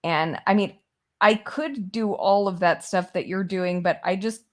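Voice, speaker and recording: A woman's voice: medium pitch, unhurried. A woman whose first language is Persian, speaking frankly and plainly in a casual discussion, with some fillers.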